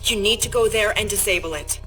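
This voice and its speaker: An actress playing an insistent, direct intelligence officer in a video game. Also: female voice, medium pitch, fast talker, fairly bright tone, natural voice. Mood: resolute, grave. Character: urgent, steely female intelligence officer. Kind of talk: video game cutscene acting. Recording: music underneath.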